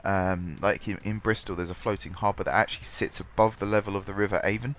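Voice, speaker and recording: A man talking over his gameplay, sounding bunged up from a cold. His voice sits fairly low, and he rambles through the commentary with plenty of ums and self-corrections. Soft music plays quietly in the background. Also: steady tone, fast. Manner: rambling, many ums and restarts. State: congested with a cold, stuffy voice. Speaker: gaming channel host. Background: faint background music.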